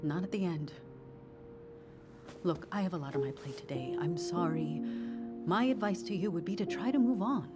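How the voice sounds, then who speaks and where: A woman's voice: on the low side, moderate pace, long pauses, over loud music, natural voice. An actress playing an evasive, pious middle-aged woman, guarded and dismissive, in a video game drama. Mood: guarded, curt. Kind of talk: naturalistic video game acting.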